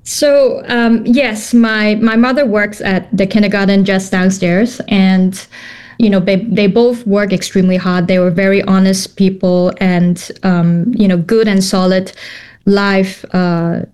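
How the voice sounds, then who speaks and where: A woman's voice: medium pitch, steady tone, measured pace, darkish tone, clear, resonant tone. A woman in her thirties from Hong Kong, a company founder answering interview questions thoughtfully, with many fillers and restarts.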